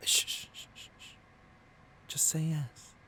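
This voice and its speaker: A man's voice: unhurried, sharp tone, slight background noise. A man playing a seductive villain tempting a hero in a scripted ASMR roleplay, speaking intimately and theatrically and often dropping toward a whisper. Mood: soothing, seductive.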